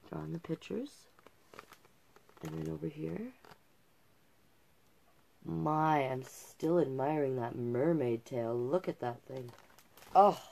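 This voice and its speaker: A woman's voice: on the low side, vocal fry. A middle-aged woman hosting storytime, reading a picture book aloud expressively and adding savouring asides of her own. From Canada. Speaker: drag king storytime host. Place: small cluttered indoor room.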